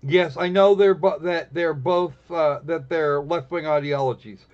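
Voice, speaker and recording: A man giving casual, snarky live commentary on a game, blunt and halting, often restarting his phrases. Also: a little high, darkish tone. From United States. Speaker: live streamer playing a visual novel. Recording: dull, lo-fi stream audio.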